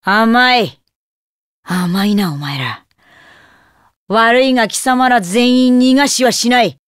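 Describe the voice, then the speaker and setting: A ruthless demon boy from an anime game. The voice is fairly high and dryly recorded. He talks with icy contempt and haughty menace. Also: takes it slowly, clear, resonant tone, dry recording, studio quality. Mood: menacing.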